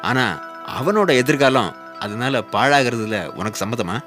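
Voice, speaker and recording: A man playing a middle-aged, worldly-wise neighbour in a radio drama. He has a medium-pitched voice and lectures with pointed questions, blunt but caring. Music sits clearly behind the speech. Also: unhurried, close to an everyday voice. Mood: admonishing. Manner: admonishing, rhetorical questioning. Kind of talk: Tamil radio drama acting.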